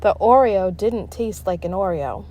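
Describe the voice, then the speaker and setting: A woman reading a children's book aloud in an expressive, emphatic storytelling style. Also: medium pitch, measured pace. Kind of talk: read-aloud story narration.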